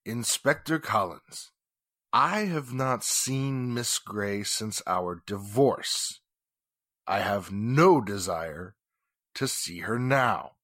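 A man acts out an indignant, wealthy gentleman from a 1940s radio play. His voice is bright and forceful, recorded close and dry. He speaks slowly and formally, weighting his phrases with bitter suspicion. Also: fairly low pitch, lively intonation, dry recording, full character voice. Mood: stiff, formal. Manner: deliberate, emphatic, formal phrasing. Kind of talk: 1940s radio drama acting.

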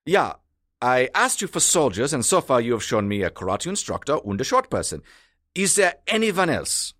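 An actor playing a pompous, sarcastic German military villain with a theatrical German accent in a comedy. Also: male voice, medium pitch, big pitch swings, normal speed, fairly bright tone, no echo, studio quality. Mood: impatient, sarcastic. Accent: mock German. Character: pompous, impatient German military villain, childishly vain, secretly soft.

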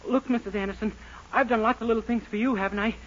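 An American man playing an earnest, naive, excitable young man in a 1940s radio sitcom, breathless and pleading. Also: very high-pitched, fast. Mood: flustered, earnest. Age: young adult. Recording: noisy muffled 1940s radio recording.

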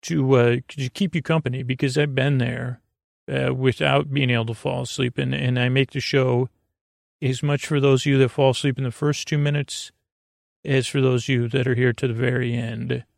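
Male bedtime-podcast host: creaky fry, dry sound, low-key meandering delivery with unfinished thoughts. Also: flat delivery, no echo. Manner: meandering, halting, trailing off mid-thought. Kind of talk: rambling, casual bedtime monologue.

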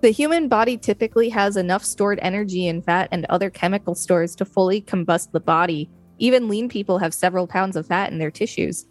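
A woman's voice: mid-range voice, brisk, few pauses, faint music behind. A woman co-hosting a podcast, reading an article aloud and adding casual asides. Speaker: podcast co-host.

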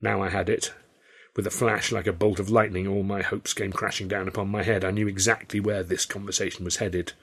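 A man's voice: deep voice, level tone, a little fast, fairly bright tone, husky. A man with an English accent narrating a first-person story from a script in a wry, literary style. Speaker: author and audiobook narrator.

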